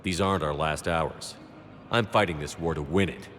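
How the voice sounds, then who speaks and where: An actor playing a steady human military commander in a video game, calm and measured. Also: male voice, extremely low pitch. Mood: earnest. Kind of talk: cinematic video game acting. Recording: long hall reverb.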